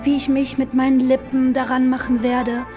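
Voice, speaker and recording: A woman's voice: sits high, completely flat delivery, normal speed, natural voice. An actress playing a playful, sensual young woman who savors florid, eloquent phrases in a literary radio drama. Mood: excited, rapturous. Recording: studio radio play with music underneath.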